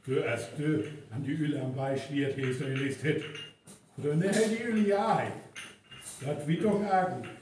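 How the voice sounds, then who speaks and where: A man's voice: fairly high pitch, moderate pace, a little mellow, far from the mic, lightly acted. A man with a North Frisian accent playing a village man in a live radio play. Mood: bantering. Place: reverberant venue, distant microphone. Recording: very echoey live recording, faint noise.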